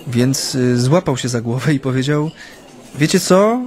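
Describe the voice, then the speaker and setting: An actor in a radio play playing a former union activist who recounts his memories in a hesitant, halting way. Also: medium pitch, darkish tone, close to an everyday voice. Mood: reflective, wry. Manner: hesitant, halting, frequent drawn-out hums. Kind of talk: radio play acting, interview-like recollection.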